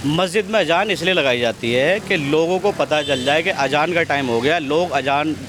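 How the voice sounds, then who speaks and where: High-voiced, argumentative man. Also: normal speed, a bit bright. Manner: argumentative, emphatic, repeats phrases. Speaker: member of the public being interviewed. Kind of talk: impassioned street opinion. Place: outdoors on a city street. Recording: outdoor street with traffic noise. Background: faint street and vehicle noise.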